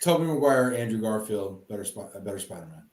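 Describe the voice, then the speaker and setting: A man's voice: lowish voice, lively intonation, fast talker, a little mellow. A male show host keeping a casual video-call interview light with playful, joking banter. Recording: echoey room.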